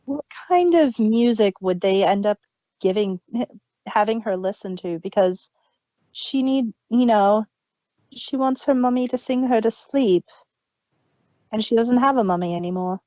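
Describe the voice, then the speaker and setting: Female co-host, relaxed and slow, lo-fi dry mic sound, casual review chat. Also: fairly high pitch, no room sound. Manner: chatty, fan enthusiasm, trailing restarts. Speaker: podcast co-host.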